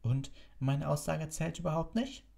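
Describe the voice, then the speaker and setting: A man plays a bitter male schoolmaster in a storybook. He hisses and sneers with cutting contempt, creaky and raised above an ordinary speaking pitch. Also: expressive, a little fast, warm tone. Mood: cutting anger. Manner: hissing, snarling contempt.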